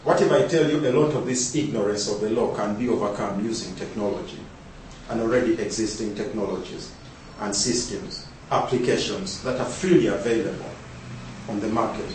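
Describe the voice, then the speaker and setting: A man's voice: lowish voice, fairly quick, a little mellow, a little hiss or hum. Man presenting in an echoey hall. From Uganda. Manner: rhetorical, repeated questions. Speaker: conference presenter. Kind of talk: conference presentation. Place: large conference hall. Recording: echoey hall, speaker far from mic.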